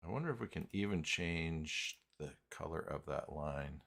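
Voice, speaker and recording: Deep-voiced, gravelly man thinking aloud. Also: expressive, fairly bright tone. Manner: halting, thinking aloud, muttering to himself. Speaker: live stream host, software tutorial presenter. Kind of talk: casual unscripted screen-share walkthrough.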